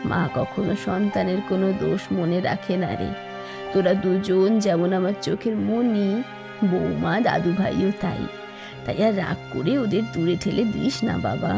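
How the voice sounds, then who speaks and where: Woman voicing a gentle old cartoon grandmother, music behind. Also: mid-range voice, lively intonation, measured pace, gravelly. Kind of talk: cartoon voice acting.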